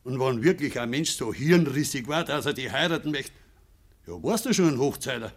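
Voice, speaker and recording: An actor playing a bitter, gruff older farmer and father in a radio drama, speaking Bavarian dialect with a grumbling, scornful manner. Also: medium pitch, animated, a little fast. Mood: angry reproach.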